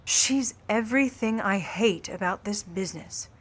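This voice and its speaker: A woman's voice: mid-range voice, a little slow, a bit bright, slight background noise, close to an everyday voice. A woman as a cool, haughty scheming starlet. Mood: bitter, proud. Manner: haughty, controlled, cutting formal delivery. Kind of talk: old-time noir radio play acting.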